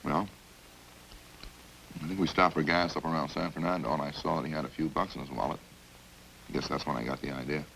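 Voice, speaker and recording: A very deep, gravelly, rambling killer. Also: male voice, steady tone, a little fast, long pauses, fairly bright tone, exaggerated voice, rough recording. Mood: matter-of-fact. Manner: casual, rambling, folksy, matter-of-fact. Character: chatty, hungry male killer calmly confessing over supper. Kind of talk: 1950s radio crime drama acting. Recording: hissy old-time radio recording.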